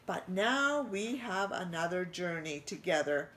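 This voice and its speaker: A woman voicing a jolly, warm-hearted older male French chef in a mock French accent with broken English. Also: fairly low pitch, very animated, measured pace, a little hiss or hum, rough recording. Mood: welcoming.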